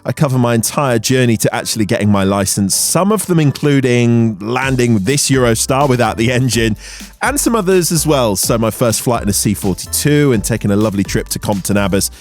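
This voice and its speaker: A man's voice: medium pitch, expressive, brisk. A British man giving a voice-over outro to his viewers as a video host. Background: music.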